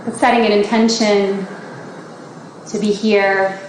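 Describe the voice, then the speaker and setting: A woman leading a guided meditation for a group, giving slow, gentle instructions with long pauses. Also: mid-range voice, flat delivery. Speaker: meditation teacher leading a body scan. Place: large indoor gathering space. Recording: very echoey room with faint background noise.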